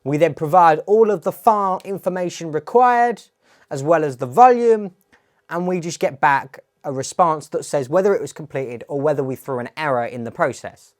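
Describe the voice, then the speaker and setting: A man's voice: fairly high pitch, expressive, moderate pace. Man explaining a tech project step by step. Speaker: tech tutorial presenter. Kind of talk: explanatory demo narration.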